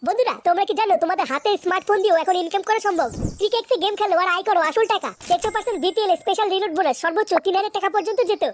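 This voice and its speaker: Ad announcer: scripted sales pitch, very high voice, rapid delivery. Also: a little hiss or hum. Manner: sales pitch addressed to viewers. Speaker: advertisement announcer. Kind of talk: promotional ad read.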